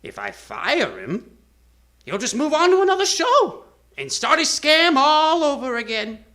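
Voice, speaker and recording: An actress playing an aggrieved, determined carnival performer, imploring and emphatic, in a live old-time radio drama. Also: female voice, sits high, big pitch swings, unhurried, a bit bright, heavily acted. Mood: indignant. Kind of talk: live 1940s-style radio drama acting.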